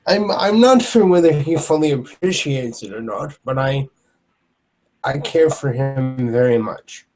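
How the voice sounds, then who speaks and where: A man voicing a huge, gentle male troll knight who speaks with formal, soft-spoken courtesy. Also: medium pitch, lively intonation, measured pace, rough recording. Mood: courtly.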